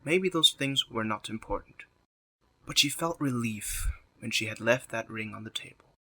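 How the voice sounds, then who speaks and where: Bright, forceful male story narrator. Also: medium pitch, moderate pace, a little hiss or hum.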